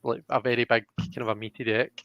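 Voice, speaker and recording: Man, halting chat, dull dry sound. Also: mid-range voice, fairly even intonation, no room sound. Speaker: host and tarot card reader. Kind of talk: casual live card reading chat.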